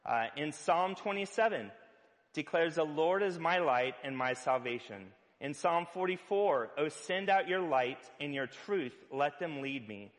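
A man preaching a sermon as pastor, loud-voiced and conversational, with filler words and playful asides. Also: on the high side, expressive, measured pace, big-room sound.

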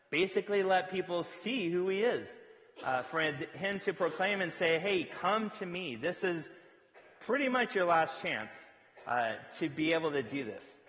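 A man preaching a conversational sermon, a pastor with a loud voice who talks in a relaxed way, with filler words and quoted asides. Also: on the high side, big-room sound, poor audio.

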